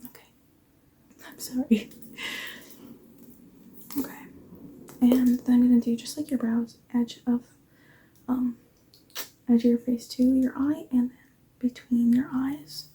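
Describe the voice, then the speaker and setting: A tired woman whispering softly through an ASMR face-measuring roleplay, yawning now and then and apologizing often. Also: a little high, level tone, unhurried, pauses often, poor audio. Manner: soft whispering, apologetic asides.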